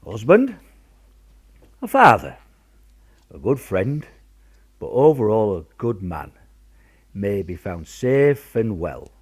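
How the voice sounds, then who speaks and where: A man plays a working-class British father in a wartime radio drama. His voice is lowish and husky, with very animated intonation. He talks bluntly and fondly, in everyday colloquial speech. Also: slow, pauses often, full character voice. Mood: hearty, insistent. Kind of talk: radio play acting.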